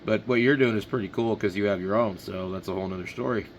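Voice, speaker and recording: A middle-aged man from Washington State talks in a low voice. He chats casually as a ham radio operator, with faint noise behind him. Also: fast talker, muffled recording. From Washington State, United States.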